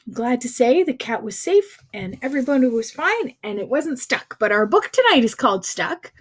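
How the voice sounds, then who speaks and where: A woman hosting a casual livestreamed story time for young children, chatting in a sing-song way and putting questions to them. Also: a little high. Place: informal livestream at a computer.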